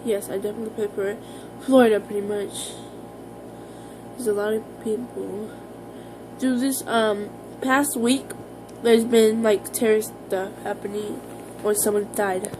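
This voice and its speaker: An American woman talking casually to her viewers in an informal, halting, rambling vlog style. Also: mid-range voice, fairly even intonation, music in the background, low-quality recording. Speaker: vlogger.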